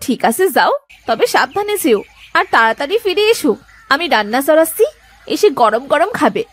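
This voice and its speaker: A caring, sharp-tongued village housewife from a cartoon moral tale. Her voice is high and very lively in pitch. She delivers every line like expressive domestic drama. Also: normal speed, no room sound. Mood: caring, friendly. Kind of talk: Bengali moral-story cartoon dubbing.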